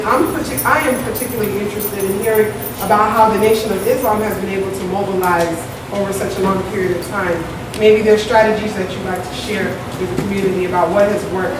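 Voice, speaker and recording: A woman moderating a community panel discussion in front of an audience, talking in a conversational way and often checking in with "right?". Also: medium pitch. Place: large echoey event hall with an audience. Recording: echoey hall, distant mic, audible hiss.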